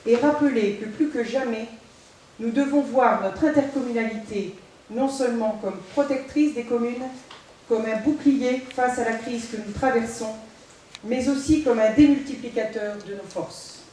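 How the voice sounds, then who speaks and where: A woman reads a formal, prepared political speech in a measured, deliberate way, as a local council president. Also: fairly high pitch, dark tone. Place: large village hall, indoors. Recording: very echoey hall, distant mic, faint noise.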